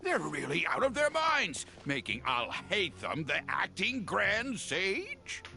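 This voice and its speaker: A man's voice: high voice, huge pitch swings, takes it slowly, vocal fry, sharp tone, slight background noise, exaggerated voice. A man playing a pompous, indignant old professor in an anime game, declaiming with scorn. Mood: indignant, scornful. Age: older.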